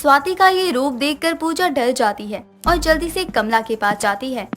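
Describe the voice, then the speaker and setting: A woman's voice: high-pitched, animated, normal speed, fairly bright tone, faint music behind. A woman narrator reading a scripted moral bedtime story.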